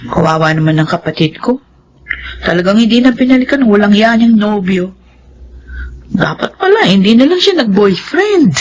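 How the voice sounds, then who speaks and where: A Filipino actress playing a caring, concerned young adult sister in a radio drama. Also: female voice, medium pitch, sing-song, normal speed, poor audio. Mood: sympathetic.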